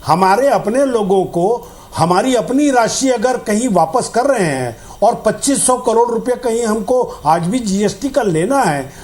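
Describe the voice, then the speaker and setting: A man's voice: very high voice, darkish tone. A man arguing as a party spokesman in a debate, indignant and full of rhetorical questions. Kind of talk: argumentative political debate.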